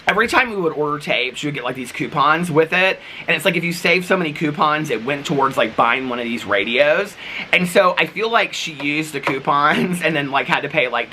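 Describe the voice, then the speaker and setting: Male vlogger, sharp bright tone, chatty and gushing, loose rambling delivery, slight background noise. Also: on the high side. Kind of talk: casual, chatty vlog talk to viewers.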